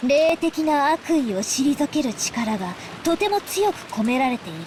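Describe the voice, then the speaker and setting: An eerie little girl from a visual novel, high in voice and widely swinging in pitch. She is childlike yet solemn, lecturing like a small scholar of witchcraft. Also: sing-song, brisk, noisy background. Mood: solemn, knowing. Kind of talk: Japanese visual novel voice acting. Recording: prominent music behind the voice.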